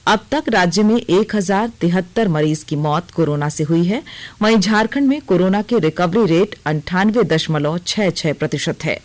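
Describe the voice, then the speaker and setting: A woman's voice: on the low side, animated, normal speed. A woman reading a scripted regional radio news bulletin as the newsreader.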